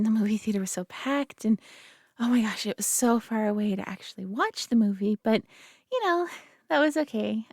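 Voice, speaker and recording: A young woman playing a sweet, nervous girlfriend who talks softly to the listener, giggling and stammering often. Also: on the high side, no room sound, studio quality. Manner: giggly, stammering, soft-spoken. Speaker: audio roleplay performer. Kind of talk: girlfriend roleplay addressing the listener.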